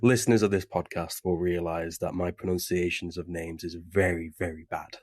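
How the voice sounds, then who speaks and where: A man with a deep voice hosts a relaxed podcast chat, talking loosely and filling gaps with ums and you-knows. The sound is close and dry. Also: normal speed, no echo. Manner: chatty, loose, with frequent fillers. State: recovering from covid. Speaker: podcast host. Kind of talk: casual podcast interview. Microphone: remote webcam call.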